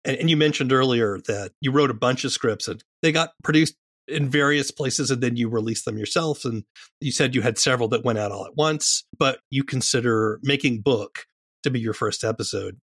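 A man's voice: medium pitch, a little fast, a bit bright, no echo, clean studio sound. A man hosting a podcast interview in a conversational, thoughtful way.

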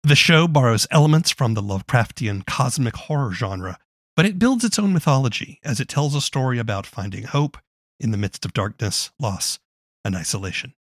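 A man's voice: medium pitch, animated, moderate pace, a bit bright, dry recording. A man hosting a podcast, reading scripted narration into a close mic. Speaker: podcast host.

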